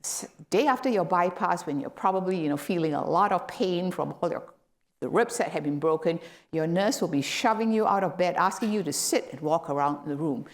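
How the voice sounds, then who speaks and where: A middle-aged woman from Malaysia delivers a guest lecture in a hall, in a somewhat low voice. She explains her points patiently and rises sharply in pitch on the words she wants to stress. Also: animated, brisk. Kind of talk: academic lecture.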